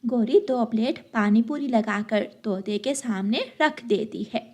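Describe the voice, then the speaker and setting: A woman's voice: a little high, measured pace, a little mellow. A woman narrating a scripted children's cartoon story as a storyteller.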